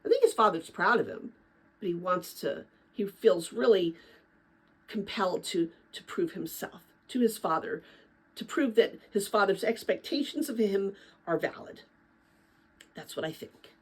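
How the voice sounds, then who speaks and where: Female storyteller for middle-grade read-aloud. Animated intonation, husky edge, dramatic but conversational. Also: mid-range voice, a little slow, poor audio. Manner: expressive, chatty when commenting to listeners. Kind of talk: dramatic children's book read-aloud with casual asides.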